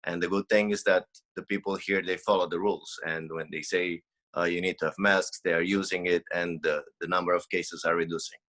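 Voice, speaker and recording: Brief: very low, forceful male guest from Mozambique, earnest and halting. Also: little pitch movement. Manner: earnest, searching for words. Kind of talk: casual interview talk.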